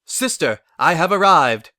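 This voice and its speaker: A man voicing a regal, formal princess pony, loud and declamatory, in a dramatic story reading. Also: a little high, animated, measured pace, pitched above the performer's own voice. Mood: imperious. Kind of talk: dramatic fan fiction reading.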